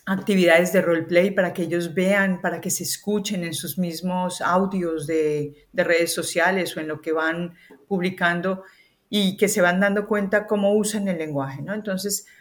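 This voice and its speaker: A woman with a Colombian accent, a communication coach, talking through her work in a relaxed podcast interview with the odd hesitant filler. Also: on the low side, moderate pace. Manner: articulate, explanatory, with hesitant fillers.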